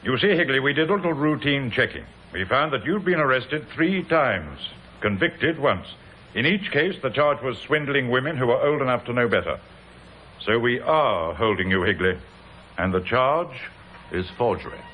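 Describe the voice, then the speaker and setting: An actor playing a dogged, dry police inspector in an old radio drama, clipped and insistent. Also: male voice, medium pitch, expressive, moderate pace, sharp tone. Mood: stern, dry. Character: dogged, dry British police inspector, middle-aged man. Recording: noisy old radio recording.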